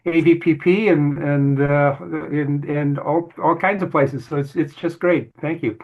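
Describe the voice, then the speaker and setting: A man's voice: on the high side, fairly even intonation, a little slow. Interview guest, male, Hungarian as first language, rambling and easygoing, on muffled call audio. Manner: rambling, self-correcting, easygoing. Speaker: guest photographer. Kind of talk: casual interview chat. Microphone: video call.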